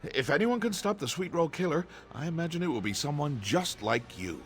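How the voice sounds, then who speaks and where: A man's voice: fairly high pitch, lively intonation, brisk. An AI-voiced man playing an earnest, nervous merchant in game dialogue, with faint music behind. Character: anxious male merchant clerk, earnest, proper.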